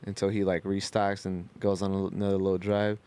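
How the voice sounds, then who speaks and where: A man's voice: deep voice, flat delivery, a little fast, a little mellow, clean tone. A man co-hosting a podcast, talking casually in a slangy, inquisitive way. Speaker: podcast co-host. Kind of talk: casual chat.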